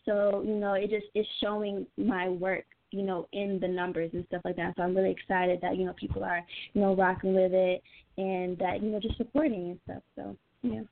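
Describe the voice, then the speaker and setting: A young woman with an American accent talks as an interviewed singer over a tinny, phone-like connection. Her voice sits at a medium pitch. She is informal and earnest, often repeating phrases as she explains herself. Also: level tone, moderate pace, creaky. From United States, Atlanta area. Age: young adult. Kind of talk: casual interview chat. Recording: tinny phone-line sound.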